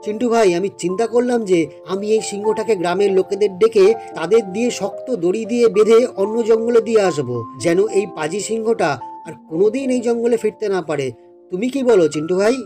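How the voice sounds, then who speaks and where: A man playing a kindly, grateful villager who speaks in an earnest, friendly way in a children's animated folk tale. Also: sits high, fast talker, faint music behind, natural voice.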